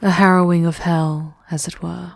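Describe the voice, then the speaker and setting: A woman's voice: fairly low pitch, level tone, dry recording. A woman playing a regal, world-weary demon queen who guides a wanderer in a formal, intimate ASMR roleplay, often sinking towards a whisper. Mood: wistful. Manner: measured, formal, dropping into breathy whispers.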